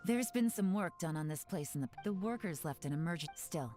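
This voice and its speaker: A woman's voice: fairly low pitch, animated, a little fast, warm tone, natural voice. An actress voicing a shrewd, self-assured woman agent in a video game, composed and knowing. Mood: cool, composed. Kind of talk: scripted video game voice acting. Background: game music.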